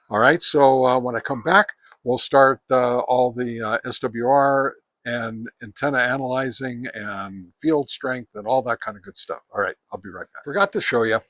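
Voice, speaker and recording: A man's voice: medium pitch, fairly bright tone, no echo. Plain-spoken American man, dry close sound. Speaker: host of a ham radio gear review channel. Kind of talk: casual hands-on product review talk.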